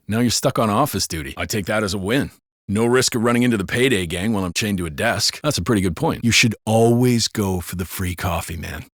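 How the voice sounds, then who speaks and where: Brash, animated American video game cop. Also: male voice, fairly low pitch, dry recording, clean studio sound. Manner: sardonic, slangy, profane. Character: brash, foul-mouthed, donut-loving male American cop. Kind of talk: scripted video game character barks and banter.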